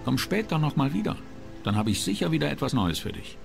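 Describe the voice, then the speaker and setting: Town map seller, video game. Polite, weary, crackly voice over music. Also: male voice, medium pitch, big pitch swings, fast, gravelly. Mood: businesslike. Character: male town mapmaker, polite but weary salesman. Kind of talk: video game character dialogue. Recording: music under the voice.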